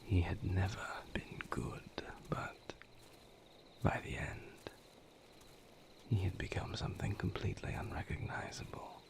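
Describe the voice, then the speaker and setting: A man voices a weary male vampire in an intimate audio roleplay, speaking low and half-whispered. His delivery is slow and solemn, with halting phrases and a gravelly creak, like a lover confiding old pain. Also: animated, exaggerated voice. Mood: somber, haunted.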